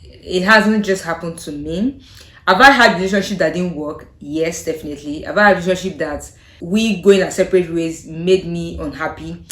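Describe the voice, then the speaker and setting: A young Nigerian woman, a native Yoruba speaker, chatting casually to camera in her vlog with a Nigerian accent, thoughtful and hesitant, often trailing off softly. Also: lowish voice, steady tone, normal speed. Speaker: vlogger. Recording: echoey room.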